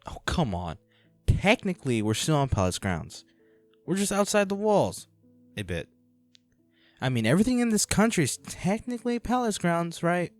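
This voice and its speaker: Man acting carefree flirty rogue, animated swooping delivery, teasing, coaxing, music behind, fantasy audio drama. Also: a little high, normal speed, dry recording. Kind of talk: romantic fantasy audio roleplay.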